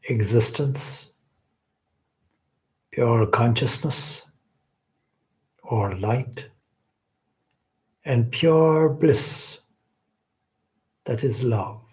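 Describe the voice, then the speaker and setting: A man guides a meditation in a mid-pitched voice. He speaks very slowly and deliberately, leaving long pauses between phrases. His delivery is gentle and reverent, like that of a spiritual teacher. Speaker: swami leading a guided meditation.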